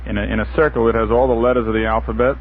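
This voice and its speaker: A man's voice: medium pitch, fairly even intonation, racing. A man chatting on a radio panel, searching for his words in a hesitant way. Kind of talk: casual radio panel chat. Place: radio studio. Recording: tinny, noisy old radio recording.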